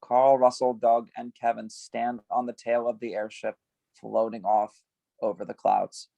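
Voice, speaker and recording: A man's voice: medium pitch, flat delivery, measured pace, vocal fry, warm tone, no room sound, muffled recording. A man reading stage directions at a script table read, giving scene headings some emphasis.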